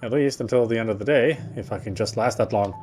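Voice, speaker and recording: A man's voice: fairly low pitch, quick pace, a little mellow. Man reading a visual novel over game music. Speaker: game player reading narration aloud. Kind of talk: let's play, reading visual novel text aloud.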